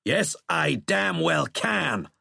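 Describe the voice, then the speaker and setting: A man voicing an angry, outspoken army general in an audiobook, loud, forceful and scornful. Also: fairly high pitch, big pitch swings, very slow, gravelly, slightly rough, no echo, studio quality, voice pushed up, exaggerated voice. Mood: loud, exasperated. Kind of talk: audiobook character acting.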